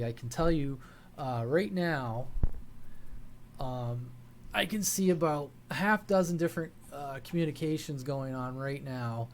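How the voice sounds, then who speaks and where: Male hobby vlogger, mid-pitched, folksy and informal, halting delivery, audible ambient noise behind the voice. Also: a little mellow. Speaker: hobby radio channel host. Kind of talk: casual vlog talk to viewers.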